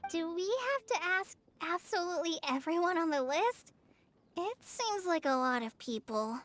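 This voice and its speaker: A woman voicing a tiny, cheerful, childlike fairy companion in a video game, squeaky and bubbly with an exaggerated delivery. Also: lively intonation, moderate pace, a bit bright. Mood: chatty. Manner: bubbly, exaggerated, refers to self in third person. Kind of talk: video game cutscene dialogue. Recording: music behind the voice.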